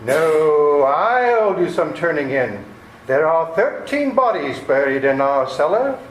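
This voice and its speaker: A man's voice: fairly high pitch, sing-song, takes it slowly. A man playing a menacing, vengeful killer in an amateur radio play. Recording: echoey room with faint noise.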